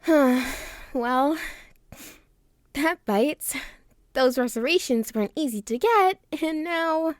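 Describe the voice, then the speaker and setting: An actress playing a sardonic but warm-hearted young female agent, wry and expressive, in a comic dub. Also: sits high, slow pace, long pauses, dry recording, studio quality. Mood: let down. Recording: music behind the voice.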